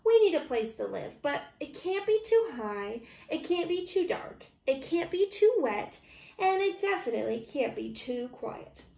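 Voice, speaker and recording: Woman playing a high, eager, fussy fox. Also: very animated, a little slow, vocal fry, mellow, heavily acted. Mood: emphatic. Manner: exclaiming, emphatic storybook delivery. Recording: roomy, echoey, distant microphone.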